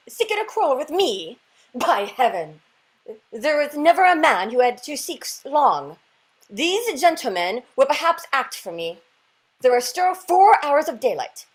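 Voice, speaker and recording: A proud marquis with a quick temper , acted by a woman, speaks formally and haughtily in a radio play. The voice is high and swings widely in pitch. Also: sing-song, poor audio. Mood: scornful challenge. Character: proud, hot-tempered French marquis, a duelist. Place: remote reading over a video call.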